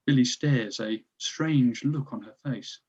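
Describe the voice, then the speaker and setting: Male narrator for a table read, scene directions, average pitch, slightly dull recording. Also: takes it slowly, a little mellow, husky. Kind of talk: scripted narration of a screenplay.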